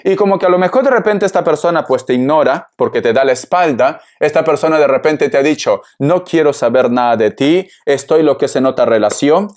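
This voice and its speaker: A man's voice: a little high, brisk, few pauses, darkish tone. A man giving an informal tarot reading, addressing the listener with pet names and repeated phrases in a dramatic manner. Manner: dramatic, acts out imagined replies from the viewer. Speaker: tarot reader, host.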